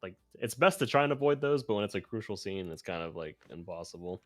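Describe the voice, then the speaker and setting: Low-voiced man in casual stream chat. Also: expressive, fairly bright tone, dry recording, clean studio sound, soft background music. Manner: halting, filler-heavy phrasing with frequent "like". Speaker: streamer and video editor, co-host.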